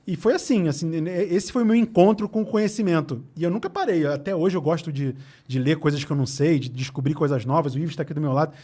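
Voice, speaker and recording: A man from Rio de Janeiro with a Carioca accent, a guest on a podcast, telling stories from his school years in a quick, animated way with easy laughs. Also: fairly high pitch, husky. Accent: Carioca Portuguese. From Rio de Janeiro, Brazil. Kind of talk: casual podcast conversation. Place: podcast studio.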